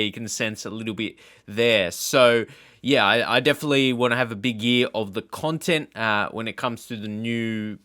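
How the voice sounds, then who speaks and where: A man's voice: mid-range voice, sharp tone, dry recording, clean studio sound. A man giving casual reaction commentary as a gaming YouTuber, thinking aloud in a hesitant, rambling way. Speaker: video game YouTuber.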